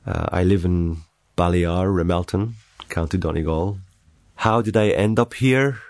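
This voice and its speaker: Middle-aged male interviewee, very low voice, Arabic accent, measured and reflective personal storytelling. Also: a little mellow. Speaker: documentary interviewee.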